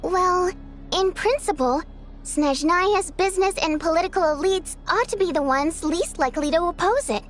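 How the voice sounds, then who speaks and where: A woman's voice: very high voice, moderate pace. An actress playing an outspoken, girlish young woman in a video game, direct and informal. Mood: curious. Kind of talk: scripted video game acting, conversational.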